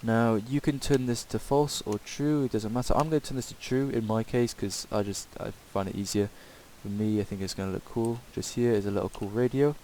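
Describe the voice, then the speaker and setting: A man giving a casual, improvised software tutorial in a hesitant, rambling way. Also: on the low side, steady tone, fairly quick, few pauses, low-quality recording. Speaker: tutorial presenter. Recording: hissy home microphone recording.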